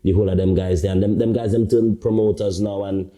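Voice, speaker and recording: A man with a low, very dark voice, talking candidly as a podcast guest. He speaks in loose street slang, restarting his sentences and checking in with "you get me" as he goes. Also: fairly even intonation, quick pace. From Caribbean. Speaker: guest, artist turned talent manager. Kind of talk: casual podcast interview.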